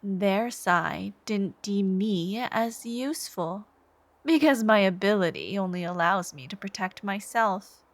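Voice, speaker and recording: A woman voicing an obsessive, devoted, soft-spoken supervillain in a scripted ASMR roleplay, intimate and menacing, with phrases trailing into whispers. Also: mid-range voice, no room sound, close to an everyday voice. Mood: scornful. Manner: slow, intimate, breathy sighs and whispered phrase endings.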